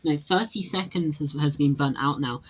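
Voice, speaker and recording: An esports caster giving play-by-play and measured analysis of a tactical shooter match, with dry humor. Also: medium pitch. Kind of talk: play-by-play commentary. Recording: broadcast with noisy game audio.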